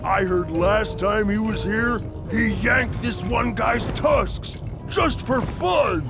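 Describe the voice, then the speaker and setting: A dim animal henchman on guard duty in a cartoon video game. He gossips at a slow, dragging pace. Music is clearly heard behind him. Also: high voice. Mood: gossipy, uneasy.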